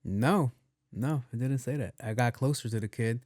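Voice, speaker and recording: A young man with a soft, mellow voice talks straight into a dry, close mic. He rambles loosely as a podcast host, restarting sentences and cursing freely. His pitch sits in an ordinary middle range. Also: moderate pace, dry recording. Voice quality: soft, not deep. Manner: loose and profane, with many restarts and repeats. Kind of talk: casual rambling monologue.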